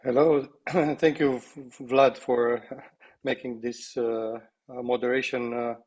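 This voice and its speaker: A slightly hoarse man speaking on a video call as a company co-founder, opening a webinar presentation in a halting, modest way. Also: mid-range voice, level tone, a little mellow. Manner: halting, self-deprecating.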